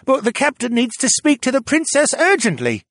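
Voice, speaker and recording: Young male sailor acted by a man, audiobook. Deferential, urgent, pitched up. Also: huge pitch swings, fairly quick, fairly bright tone, no echo, clean studio sound, full character voice. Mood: apologetic, urgent. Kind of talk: audiobook character dialogue.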